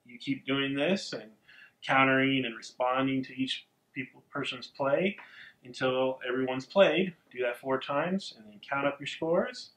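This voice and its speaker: A man's voice: mid-range voice, a little slow, a bit bright, roomy sound, poor audio. A man co-hosting a board game review, explaining the rules steadily with frequent ums. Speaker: podcast co-host.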